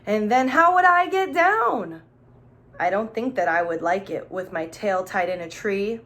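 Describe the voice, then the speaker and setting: Female children's teacher for story time: medium-pitched, playful, expressive delivery, speaking directly to little listeners. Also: measured pace. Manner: expressive, playful, with gasps and exclamations; simple explanations and questions addressed to young children. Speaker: teacher reading aloud to children. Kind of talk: children's storybook read-aloud with questions and asides.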